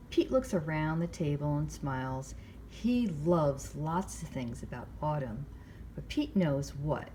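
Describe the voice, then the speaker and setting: A woman hosting storytime, reading a picture book aloud to young children with measured, expressive phrasing. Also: low voice, slight background noise. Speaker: library storytime host.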